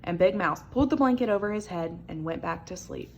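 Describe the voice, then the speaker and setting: A woman reading a children's picture book aloud as an expressive storyteller. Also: fairly low pitch, fairly quick, lo-fi sound, background noise.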